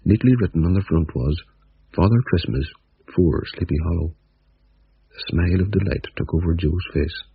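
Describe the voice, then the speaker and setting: Deep gravelly male storyteller. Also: normal speed, narrow, tinny audio. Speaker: narrator. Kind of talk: storybook narration.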